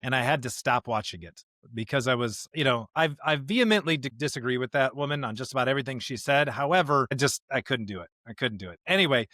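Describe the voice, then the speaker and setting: A man's voice: medium pitch, lively intonation, fast talker, few pauses, sharp tone, no echo. A man co-hosting a podcast with conversational theological commentary, stressing his points with asides and rhetorical questions. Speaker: podcast host.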